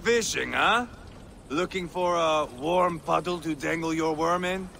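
An actor playing a brash, crude Viking chieftain in a game cutscene, mocking and hot-tempered. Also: male voice, fairly high pitch, measured pace, vocal fry, bright, forceful tone, slight background noise, heavily acted. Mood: scornful. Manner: mocking, crude, growling insults. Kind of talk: video game cutscene acting.

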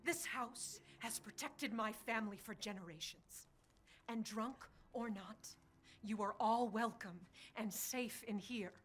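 An actress playing a stern village matriarch. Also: female voice, on the high side, a little slow, lightly acted. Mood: commanding. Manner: firm, then faltering with stammers. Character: protective village woman, anxious host of refugees.

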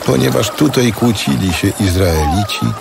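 Very deep, expressive male narrator. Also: gravelly, heavy background noise. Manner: solemn, measured. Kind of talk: scripted biblical audio drama narration.

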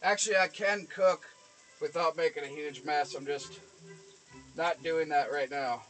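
A man's voice: on the high side, measured pace, fairly bright tone, faint music behind, rough recording. A man in his thirties hosting a rowdy, goofy cooking livestream in a small kitchen, exuberant and given to whooping. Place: small camper kitchen, indoors.

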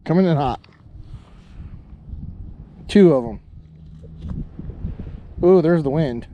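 A young man filming himself ice fishing outdoors on a frozen lake, chatting excitedly to viewers about each fish. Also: fairly high pitch, highs cut off, slight background noise. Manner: excited, chatty, quick to laugh. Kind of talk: casual play-by-play to viewers. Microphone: head-mounted action camera.

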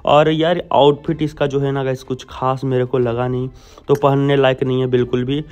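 A man's voice: medium pitch, little pitch movement, moderate pace, a little mellow. A young Indian man giving a casual, blunt video game update, talking informally to viewers as friends over a close mic. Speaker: gaming YouTuber. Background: background music.

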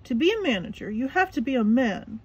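A woman's voice: a little high, sing-song, fairly quick, breathy edge. A woman voicing a bossy male crab restaurant boss from a cartoon storybook, in an emphatic, announcing manner. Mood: condescending.

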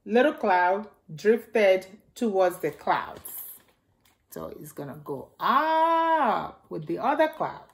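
A middle-aged woman hosting a storytime, reading aloud to small children in simple, deliberate phrases and repeating key words. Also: medium pitch, sing-song. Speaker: storytime host reading a picture book to young children. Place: indoors in a home, beside a staircase.

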